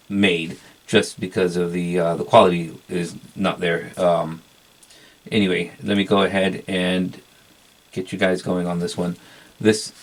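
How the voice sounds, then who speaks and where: Low-pitched, monotone man reviewing gear. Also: poor audio. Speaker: product reviewer. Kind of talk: casual unboxing review.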